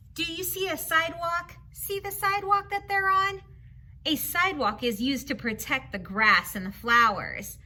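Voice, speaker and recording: A woman's voice: sits high, pushed and bright. A woman reading aloud to children as a teacher, explaining in simple, instructive phrases and putting questions to her young listeners.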